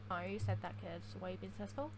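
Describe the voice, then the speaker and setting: A woman's voice: mid-range voice, fairly even intonation, fast, a little hiss or hum, rough recording. A woman giving casual playthrough commentary, with slangy, teasing reactions. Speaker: gaming video player and commentator. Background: music.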